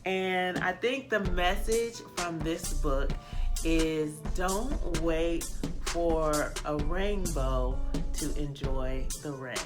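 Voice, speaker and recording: A middle-aged woman hosting a children's read-aloud, reading storybook style with deliberate, expressive phrasing. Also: low voice, music in the background. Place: small plain indoor room.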